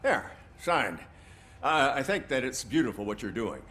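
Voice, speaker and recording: A man's voice: mid-range voice, very animated, a little slow, a bit bright. A man playing a friendly, distracted police officer in a video game, hesitant and rambling. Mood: awkward, preoccupied. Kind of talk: video game cutscene acting.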